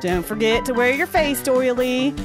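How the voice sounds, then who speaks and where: A woman's voice: sits high, expressive, normal speed, music in the background. A woman playing a breezy, clueless lady, telling folksy stories in a radio comedy monologue. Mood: sweet sign-off. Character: chatty, cheerfully clueless Southern lady sharing life updates.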